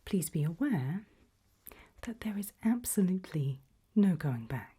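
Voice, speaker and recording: Woman acting as a polite but sinister hr lady, asmr roleplay, really breathy whisper, takes her time. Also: lowish voice, big pitch swings, heavily acted. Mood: calm, veiled menace. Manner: slow, deliberate, softly spoken. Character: polite, quietly menacing female HR interviewer for evil organisation.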